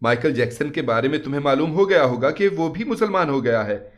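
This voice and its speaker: A man's voice: fairly high pitch, expressive, brisk, natural voice. A man voicing a friendly woman doctor who chats in a matter-of-fact way. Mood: chatty.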